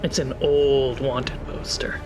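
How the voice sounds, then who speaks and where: A man narrating a tabletop game as game master, with a relaxed, descriptive manner. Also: medium pitch, slow pace, rough recording. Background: music score.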